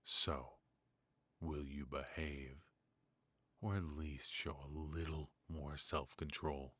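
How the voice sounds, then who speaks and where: A man playing a ruthless but protective mafia boss in an intimate noir roleplay, murmuring close to the mic with hesitant pauses and quiet menace. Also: rumbling low, steady tone, a little hiss or hum, exaggerated voice. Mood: coaxing concern. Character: brilliant, ruthless male mafia boss, possessive yet protective. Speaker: voice actor. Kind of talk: noir ASMR roleplay, intimate dramatic monologue. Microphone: close studio mic, dry.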